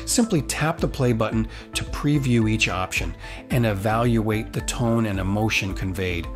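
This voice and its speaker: A man hosting a tutorial with upbeat, promotional scripted narration. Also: medium pitch. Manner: enthusiastic, promotional. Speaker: tutorial host. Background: background music.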